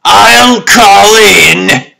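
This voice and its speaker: A man's voice: high-pitched, creaky, sharp tone, pitched above the performer's own voice, full character voice. A man voicing a vengeful reptilian overlord in a comic dub, drawing out every word imperiously. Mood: brooding anger. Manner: drawn-out, imperious.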